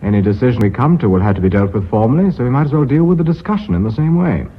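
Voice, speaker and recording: A brisk, authoritative English vice chairman in a radio play. His voice is husky and swings widely in pitch, formal yet harried. The recording is dull and lo-fi. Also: animated. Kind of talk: British radio drama acting.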